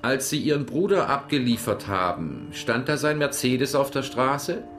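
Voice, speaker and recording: An actor in a German radio crime drama, speaking as a calm, probing investigator and storyteller. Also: male voice, mid-range voice, moderate pace, music in the background, close to an everyday voice.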